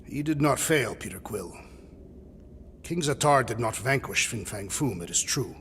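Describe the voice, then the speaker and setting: Gravelly, solemn alien warrior. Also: male voice, medium pitch, animated, unhurried, a bit bright, in a large hall, slight background noise. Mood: earnest, dignified. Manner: grave, formal, storytelling delivery without contractions. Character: solemn, honour-bound alien warrior man, formal and literal-minded. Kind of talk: dramatic video game cutscene acting.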